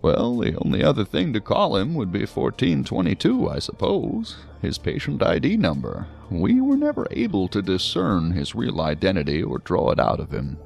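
Musing, gravel-edged psychiatrist, swooping pitch. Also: male voice, wildly swooping pitch, normal speed, few pauses, a little mellow, faint music behind, full character voice. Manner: wordy, musing, explanatory. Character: male psychiatrist, talkative, learned, genially hedging. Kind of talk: science fiction audio drama acting.

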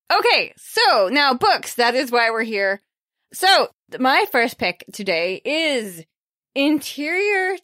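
A woman's voice: mid-range voice, fairly bright tone, dry recording, clean studio sound. A woman hosting a book podcast in casual chat, bubbly and talkative, laughing often. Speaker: podcast host.

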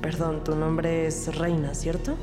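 A woman's voice: low-pitched, monotone, slow pace, over music. An actress playing a composed police detective who narrates her case in a scripted crime audio drama. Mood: composed, serious.

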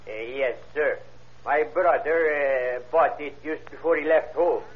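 An actor playing a polite, hesitant, ordinary witness giving plain answers in an old-time radio courtroom drama. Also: male voice, on the high side, measured pace, lightly acted. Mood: hesitant, earnest. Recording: muffled vintage radio recording.

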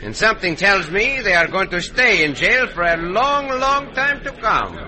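A man playing a calm, courtly Mexican gunfighter hero in an old-time radio western, with a Mexican accent and formal, deliberate phrasing. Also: high voice, a loud crowd, low-quality recording. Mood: firm, commanding. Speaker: radio drama lead actor.

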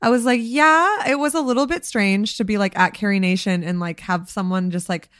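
A slightly hoarse woman co-hosting a podcast, chatting casually, swearing freely and filling with likes and ums. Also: medium pitch, a little fast, a bit bright, no room sound, clean studio sound. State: a little hoarse. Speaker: podcast co-host. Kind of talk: casual chat.

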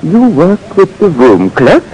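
A man's voice: high-pitched, huge pitch swings, slow pace, darkish tone, voice pushed up, heavily acted, low-quality recording. A man voicing an elderly, formal society elder in an old-time radio drama, stiff, pompous and precise. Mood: perplexed.

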